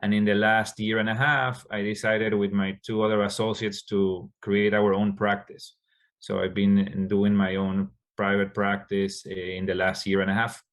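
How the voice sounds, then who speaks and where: A man from Ecuador, a Spanish speaker, answering interview questions as a doctor, halting with many fillers and restarts. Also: lowish voice, little pitch movement. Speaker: endocrinologist guest.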